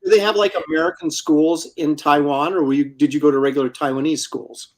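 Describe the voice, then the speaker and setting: A man's voice: fairly high pitch, fairly even intonation, fairly quick, rough recording. A man in his sixties hosting a casual live stream, chatting with a guest and reading viewer comments aloud. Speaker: host.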